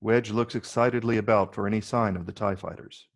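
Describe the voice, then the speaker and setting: Gravelly low-voiced man narrating a screenplay. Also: fairly even intonation, brisk. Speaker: narrator reading stage directions.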